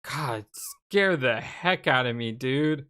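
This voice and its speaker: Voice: mid-range voice, expressive, slow pace, bright, forceful tone, slight background noise. A let's play host giving casual, chatty gameplay commentary and reading game text aloud, reacting as they go. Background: video game music.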